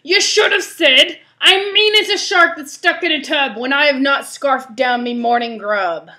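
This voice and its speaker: A woman's voice: very high-pitched, normal speed, bright, forceful tone, voice pushed up. A woman voicing a cranky, blustery male pirate captain who bellows in pirate slang for a storybook. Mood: exasperated. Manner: bellowing, rhyming pirate slang with bad grammar.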